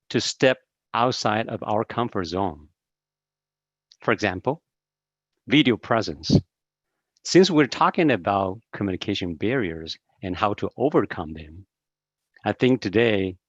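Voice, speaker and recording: A man's voice: lowish voice, no echo. A man in his fifties from Taiwan, a seminar speaker giving an encouraging online talk with many hesitant fillers over a video call. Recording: dry, dull video-call audio.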